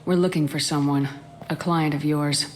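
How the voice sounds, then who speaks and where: A woman playing a steady military commander in a video game, speaking in a direct, composed, questioning manner. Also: low voice, moderate pace, a little hiss or hum, natural voice. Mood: firm, businesslike. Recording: loud music behind the voice.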